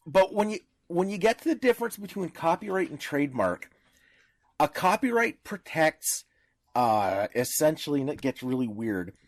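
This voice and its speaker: A man's voice: a little high, very animated, moderate pace, no echo. A man speaking as a podcast panelist in informal discussion, explaining in a didactic way with examples.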